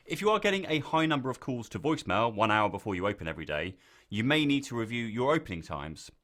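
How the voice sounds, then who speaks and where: Guy doing scripted how-to voiceover for software, medium pitch, clear step by step instructions. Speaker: software tutorial narrator.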